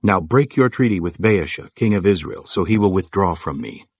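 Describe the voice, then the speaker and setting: Lowish-voiced, commanding ancient king. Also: lively intonation, moderate pace, breathy edge, no echo, heavily acted. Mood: formal, commanding. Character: formal male ancient king proposing a treaty. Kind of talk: dramatized audio Bible acting.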